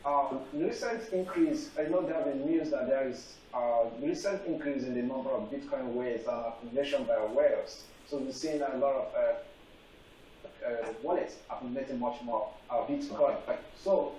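A young man hosting a televised panel discussion, halting with many fillers. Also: mid-range voice, warm tone. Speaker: TV panel anchor. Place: TV studio set. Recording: echoey room, faint noise, far from mic.

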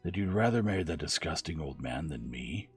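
A man's voice: low-pitched, lively intonation, normal speed, a little mellow, faint music behind. A man voicing a brash, scornful young suitor in an audiobook, furious and incredulous. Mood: incredulous, venomous.

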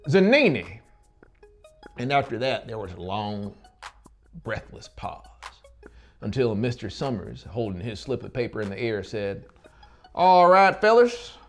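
A man in his thirties narrating a gothic horror audiobook with deliberate, theatrical phrasing. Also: lowish voice, darkish tone. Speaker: audiobook narrator and musical performer. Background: music under the voice.

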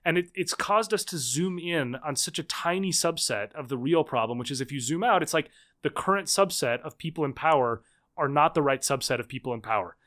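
A man in his thirties, a guest author and researcher, talking fast and animatedly with many restarts in a casual interview. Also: a little high, animated, a bit bright. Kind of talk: casual long-form interview.

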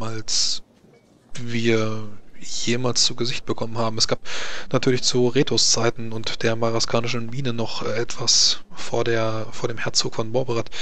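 A man playing a grave, worried court mage in a tabletop game, explaining things in a formal, halting, deliberate way. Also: medium pitch, fairly bright tone, natural voice. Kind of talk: tabletop roleplay in character.

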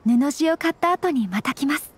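A woman voicing a gentle, refined young noblewoman with very polite, measured speech in an anime game. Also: high voice, expressive, slight background noise. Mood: composed, thoughtful. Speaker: game voice actor. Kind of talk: scripted anime game dialogue. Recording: studio voice over game music.

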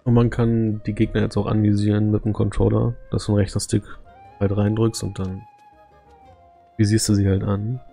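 A man's voice: lowish voice, level tone, moderate pace, vocal fry, warm tone, soft background music. A man giving casual, laid-back live gameplay commentary as a streamer, in colloquial language. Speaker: game streamer.

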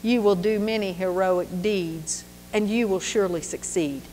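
A woman voicing an older male king who speaks quietly and contritely. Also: mid-range voice, normal speed, in a large hall, a little hiss or hum, voice pushed down, close to an everyday voice, rough recording. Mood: remorseful.

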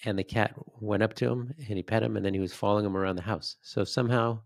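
Middle-aged man, low gravelly voice, podcast co-host, dry close mic. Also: fairly even intonation, quick pace, no echo. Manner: attentive listener, short backchannel replies, dry jokes. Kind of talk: casual chat. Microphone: studio mic on boom, video call.

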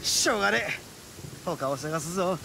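A man voicing a boisterous, cocky young male oni in an anime-style game, with brash, rough, boastful speech. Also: high-pitched, animated, normal speed, background noise. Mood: annoyed. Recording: studio voice over game music. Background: background music.

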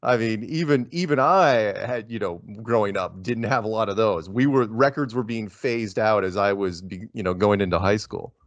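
A man's voice: lowish voice, normal speed, few pauses, no echo, lo-fi sound. Middle-aged guy host on a video call, chatty, rambly, jokey, dry sound. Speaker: podcast host. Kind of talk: casual video-call interview.

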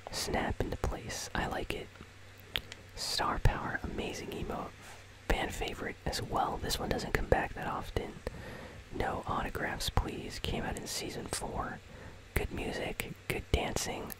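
A host whispering casual, conversational ASMR commentary on a video game in a breathy, unvoiced voice, close to the microphone. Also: a little slow, rough recording. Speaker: host of a gaming news channel.

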